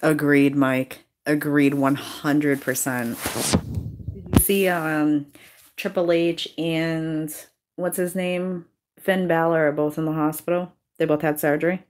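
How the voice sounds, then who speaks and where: Low-voiced woman with a Worcester accent. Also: flat delivery, slow pace, noisy background. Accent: Worcester, Massachusetts. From Massachusetts. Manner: blunt, profane and chatty. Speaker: YouTuber hosting a live stream. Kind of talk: casual live-stream chat.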